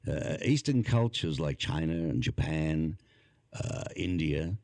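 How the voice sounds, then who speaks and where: Deep-voiced, animated man hosting a podcast. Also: takes it slowly, creaky, no echo. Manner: rambling, animated, asks guests questions. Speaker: podcast host. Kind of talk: casual interview chat.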